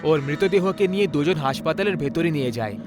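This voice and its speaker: A male storyteller narrates a scripted horror tale. His voice sits somewhat high for a man, and music plays clearly behind it. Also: animated, fast talker.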